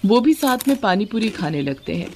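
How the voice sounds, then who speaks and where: A woman's voice: mid-range voice, big pitch swings, brisk, darkish tone, slight background noise. A woman narrating a scripted moral story as a storyteller.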